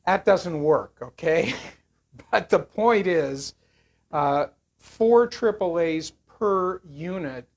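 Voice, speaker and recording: An older person giving a casual, unscripted product review in a hesitant, rambling way with frequent pauses. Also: mid-range voice, animated.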